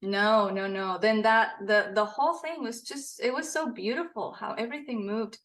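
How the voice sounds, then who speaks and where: A woman's voice: a little high, moderate pace, fairly bright tone. A woman from Costa Rica, a podcast guest and author, telling her story in a casual interview with frequent laughs. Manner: earnest storytelling, laughs often.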